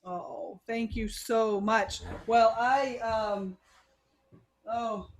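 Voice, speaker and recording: A woman's voice: fairly high pitch, steady tone, drawn-out words, slight background noise. American lady leading an online teacher workshop, encouraging, playful, video call audio. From USA. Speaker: teacher trainer leading the session. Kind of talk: warm, upbeat workshop facilitation.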